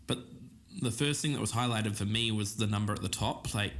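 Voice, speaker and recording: A man's voice: fairly low pitch, fairly quick, fairly bright tone. An actor as a man podcasting in an echoey room. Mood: thoughtful. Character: earnest amateur podcast host investigating a lost TV show. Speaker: podcast host. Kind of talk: conversational podcast discussion.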